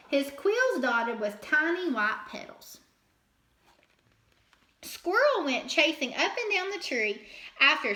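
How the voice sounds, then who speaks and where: A woman reading a children's story aloud like a teacher, with dramatic, expressive storytelling. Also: high voice, reverberant.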